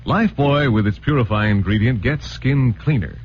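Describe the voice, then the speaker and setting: A man with an American accent announcing and reading a sponsor pitch in 1940s radio style. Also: normal speed. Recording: muffled old radio recording, faint noise.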